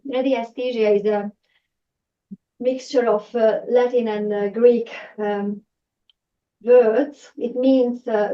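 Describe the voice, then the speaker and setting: A woman with a Hungarian accent and a fairly high voice presents to an audience. She pauses often with fillers and restarts, and her voice sounds dull and lo-fi. She is picked up from some distance away. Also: warm tone. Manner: hesitant, many fillers and restarts. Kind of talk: public talk to a live audience. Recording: distant, dull lo-fi room recording.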